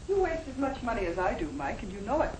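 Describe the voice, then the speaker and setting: An actress playing a spirited young American working wife who coaxes and argues, in the style of a 1950 educational film. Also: female voice, on the high side, sing-song, fast talker. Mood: peeved. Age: young adult. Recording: echoey, faintly noisy 1950 film soundtrack.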